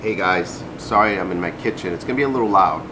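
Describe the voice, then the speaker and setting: Man, low pitch, casual vlog talk, rambling, noisy kitchen recording with appliance hum. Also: fast. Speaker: vlogger. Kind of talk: casual chat to viewers. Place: home kitchen.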